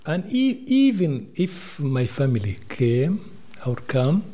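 High-pitched man, animated, halting emphatic interview answers, music underneath. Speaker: interviewee, refugee poet.

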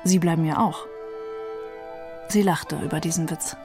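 Low-voiced wry young refugee. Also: female voice, steady tone, moderate pace, music in the background. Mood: detached, dry. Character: young male refugee narrator, proud, wry, detached. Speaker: reader of a literary novel. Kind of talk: literary reading in a radio feature.

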